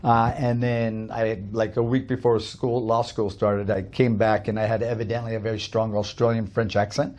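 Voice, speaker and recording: A man's voice: lowish voice, flat delivery, normal speed, hardly any pauses, darkish tone, slight background noise. A middle-aged man from Canada telling his life story as a guest in a casual chat, upbeat and rambling with many fillers. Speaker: guest, cafe owner.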